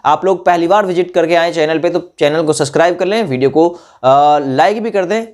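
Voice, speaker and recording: A man's voice: on the high side, normal speed, darkish tone. A young man giving an informal explanatory update to students, conversational and repeating points for emphasis with frequent tag questions. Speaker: exam-news YouTube presenter.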